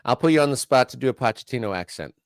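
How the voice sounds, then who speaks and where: A man's voice: mid-range voice, animated, racing, clean tone, dry recording. A man hosting a casual soccer talk show, teasing his co-host and reading show plugs. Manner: joking, teasing.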